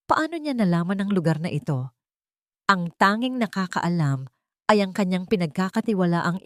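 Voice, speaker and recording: A woman's voice: mid-range voice, no echo, studio quality. A woman reading a scripted dramatic story as its narrator.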